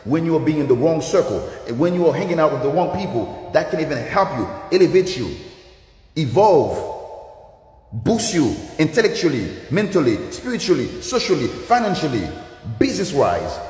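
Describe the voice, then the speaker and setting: A man's voice: a little high, very animated, normal speed, darkish tone. A man with a Haitian accent, a native Creole speaker, giving an emphatic, repetitive, preaching motivational talk on a live stream. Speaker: dance instructor. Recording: echoey reverberant room.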